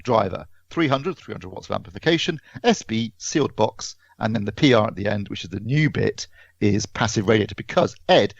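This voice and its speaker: A man's voice: on the low side, expressive, fairly quick, gravelly, no echo, dull audio. A British man co-hosting a podcast, talking through a product review casually and correcting himself as he explains.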